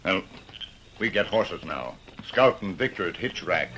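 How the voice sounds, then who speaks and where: An actor playing a steady, loyal sidekick in an old-time radio western, speaking terse broken English. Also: male voice, deep voice, a little slow, full character voice. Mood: steady, serious. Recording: faintly noisy vintage radio recording.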